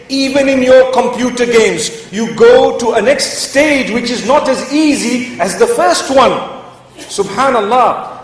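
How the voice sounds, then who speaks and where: A middle-aged male Islamic preacher lecturing a live audience, asking rhetorical questions with emphatic pauses. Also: extremely high pitch. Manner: chants Arabic scripture melodically. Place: large mosque hall. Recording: echoey hall, mic far off.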